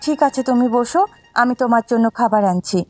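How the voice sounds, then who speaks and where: A woman's voice: fairly high pitch, fairly quick, soft background music, natural voice. A woman voicing a competitive, boastful young village housewife in a folk-tale cartoon, with a gossipy, emphatic delivery. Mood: bossy, determined. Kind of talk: cartoon voice acting.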